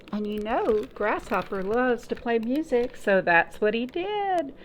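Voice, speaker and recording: A woman reading and chatting to young children as a storytime host, in an expressive sing-song way with lots of simple questions. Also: fairly high pitch. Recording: noticeable background noise.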